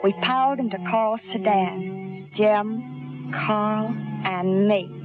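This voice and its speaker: An actress playing a cold, scheming, seductive killer in the manner of 1940s radio crime drama. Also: female voice, mid-range voice, slow, lightly acted. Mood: brooding narration. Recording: tinny old radio broadcast, music behind.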